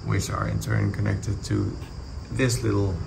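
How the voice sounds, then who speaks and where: Low-voiced young man vlogging casually. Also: level tone, measured pace, creaky. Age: young adult. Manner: informal, explanatory. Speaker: vlogger renovating a villa. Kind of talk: casual vlog narration to camera. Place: outdoors in an overgrown garden, some indoors. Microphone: handheld phone at arm's length. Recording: outdoor phone audio with insect noise.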